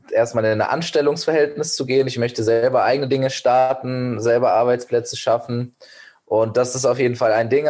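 A man's voice: mid-range voice, darkish tone. A young man in his twenties, a startup founder, guest on a podcast, giving long earnest answers full of fillers and restarts. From Germany. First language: Persian. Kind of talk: podcast interview.

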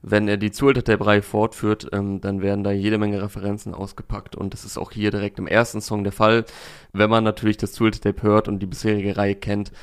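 A man hosting a casual music review podcast, a little hoarse, chatty, with frequent fillers. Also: lowish voice, a little fast. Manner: relaxed, talkative, with frequent fillers. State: slightly hoarse. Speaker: podcast host.

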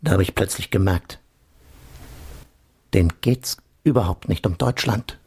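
A man's voice: sits low, a little slow, darkish tone, slightly rough, full character voice. An actor playing an evasive, self-justifying male intelligence official in a political radio drama, conspiratorial in manner. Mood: grim, aggrieved.

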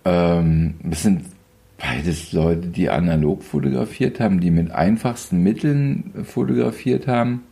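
A man in his fifties with a very low, mellow voice talks as a photographer in an interview. He rambles with wry, teasing humor and frequent hesitations. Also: measured pace, creaky. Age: 50s. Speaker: photographer guest. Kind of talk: casual interview.

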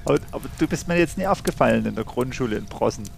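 A man's voice: medium pitch, expressive, very fast, gravelly, slight background noise. A man in his thirties from Saxon Switzerland, chatting casually on a podcast. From Saxon Switzerland, eastern Germany. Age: 30s. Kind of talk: casual chat.

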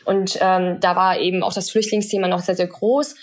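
A woman's voice: lowish voice, little pitch movement, moderate pace. Thoughtful young woman from China. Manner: thoughtful, many filler sounds, laughs easily. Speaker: podcast guest, social entrepreneur. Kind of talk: casual interview talk.

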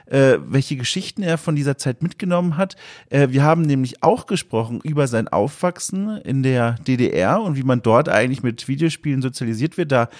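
A man in his thirties from West Germany, a podcast host introducing his guest in a chatty, rambling way with many asides. He has a cold and sounds congested. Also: on the high side. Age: 30s. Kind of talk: casual podcast introduction and interview.

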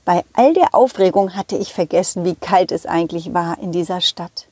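A woman telling a story to children in the first person, with expressive emphasis and direct address to young listeners. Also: fairly low pitch, normal speed, husky, dry recording.

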